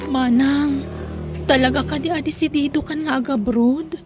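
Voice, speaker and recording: Woman acting high-voiced caring younger sister, Ilocano. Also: fairly even intonation, moderate pace, over music, lightly acted. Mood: concerned. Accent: Ilocano Filipino. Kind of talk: audio drama acting.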